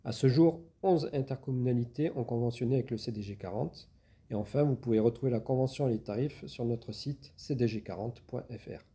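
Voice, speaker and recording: A man's voice: mid-range voice, brisk, mellow. A man giving structured, step-by-step explanations as a public service agent in an interview.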